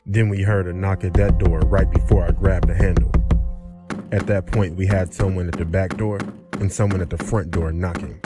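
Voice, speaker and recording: A man's voice: low voice, steady tone, moderate pace, over loud music. A man narrating a scripted horror story.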